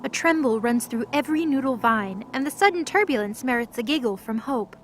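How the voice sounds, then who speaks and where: A woman's voice: fairly high pitch, lively intonation, fairly quick, slight background noise, natural voice. A woman narrator reading scripted third-person narration for an audio drama.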